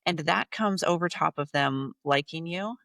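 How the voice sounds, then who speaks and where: A woman's voice: low voice, moderate pace, vocal fry, fairly bright tone, dry recording, clean studio sound. A woman in her thirties, a guest on a podcast, talking bluntly and emphatically and repeating points for effect. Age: 30s. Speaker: podcast guest, business coach. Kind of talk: casual interview talk.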